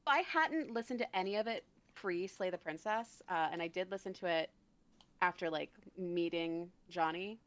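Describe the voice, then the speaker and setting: A woman's voice: on the low side, moderate pace, a bit bright, smooth. A woman bantering casually as a livestream guest, playful and mock-serious. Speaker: guest on the show, voice actor.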